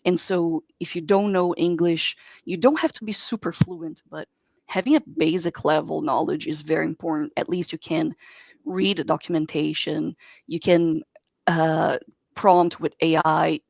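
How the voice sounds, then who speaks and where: Low, gravelly Brazilian-born woman. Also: steady tone, measured pace, darkish tone, dry recording. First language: Portuguese. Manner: expansive, advising earnestly. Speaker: software engineer guest. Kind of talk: online interview answers. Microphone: video call. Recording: dull dry video call audio.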